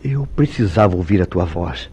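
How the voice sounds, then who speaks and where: An actor playing a jealous, devoted young husband in an old-fashioned Brazilian radio soap, speaking solemnly with formal diction and a Brazilian accent. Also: male voice, sits low, expressive, moderate pace, warm tone, slightly rough, heavily acted. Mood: weary, suspicious.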